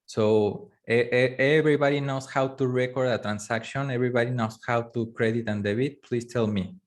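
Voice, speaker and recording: A man whose first language is Spanish, teaching a live online course, talking in a halting way with many fillers and restarts. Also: medium pitch, fairly even intonation. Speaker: course instructor. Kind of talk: live online class lecture.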